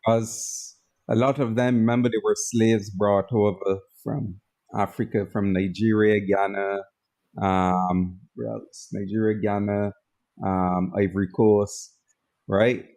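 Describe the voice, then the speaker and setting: Slow-talking Barbadian man. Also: lowish voice, little pitch movement, darkish tone, rough recording. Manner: easygoing, laughs often, reads out viewer comments. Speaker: co-host of a skeptic livestream. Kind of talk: casual livestream chat.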